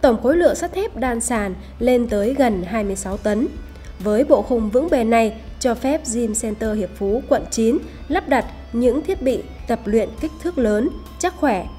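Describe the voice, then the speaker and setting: High, mellow female promo narrator. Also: animated, moderate pace. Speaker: voice-over narrator for a gym promotional video. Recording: voice over background music. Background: music.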